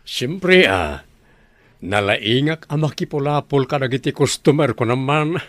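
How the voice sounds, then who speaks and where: Confident Ilocano single dad. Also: male voice, medium pitch, animated, measured pace, fairly bright tone. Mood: breezy, teasing. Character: boastful but devoted single father, elder brother. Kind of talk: Ilocano radio drama acting.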